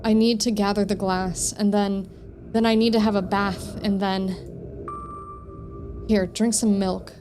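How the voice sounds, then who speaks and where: A woman's voice: mid-range voice, level tone, unhurried, pauses often, lightly acted. A woman voicing a troubled, needy young narrator of a psychological horror visual novel, reading in an introspective, pleading way. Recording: music behind the voice.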